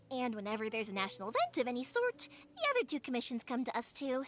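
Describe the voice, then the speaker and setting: An actress playing a cheerful, caring young woman in a video game, chatty, expressive and easygoing. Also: sits high. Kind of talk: video game character acting.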